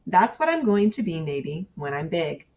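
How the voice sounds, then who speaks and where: A woman's voice: low voice, big pitch swings, normal speed, a little mellow, far from the mic. Woman voicing a curious, blunt little storybook boy. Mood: curious, indignant. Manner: questioning, interrupting, easily annoyed.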